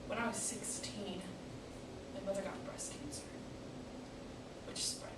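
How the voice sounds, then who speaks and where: A woman performing a dramatic stage monologue as a grieving daughter who bitterly questions God, with deliberate pauses. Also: mid-range voice, level tone, close to an everyday voice. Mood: hushed grief. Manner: deliberate, dramatic pauses, swelling from hushed to pleading. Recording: echoey room, distant mic, background noise.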